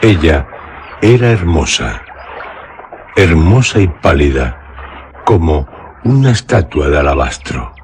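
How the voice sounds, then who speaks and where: A man narrating a literary radio drama in a measured, solemn way. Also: a bass voice, very animated, darkish tone, slight background noise.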